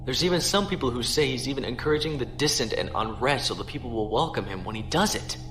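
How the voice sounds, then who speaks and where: A man playing a courteous, earnest starship captain in an audio drama, speaking in a measured, formal way. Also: mid-range voice, fairly bright tone, faint music behind, close to an everyday voice. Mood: grave.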